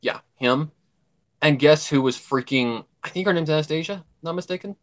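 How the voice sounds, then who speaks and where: A man's voice: a little high, normal speed. A man talking casually as a guest on a livestream, rambling and emphatic, often repeating his points. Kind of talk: casual chat.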